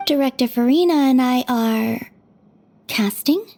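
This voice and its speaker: A woman playing a gentle, dreamy young maiden in an anime video game, speaking softly and wistfully. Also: high-pitched, measured pace. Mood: thoughtful. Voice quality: soft, breathy. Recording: faint music behind.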